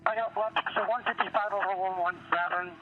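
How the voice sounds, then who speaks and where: A man's voice: high voice, steady tone, fairly quick, faint music behind. A man working as an emergency responder, giving a clinical patient report over a radio call. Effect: radio transmission. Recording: dull lo-fi EMS radio call.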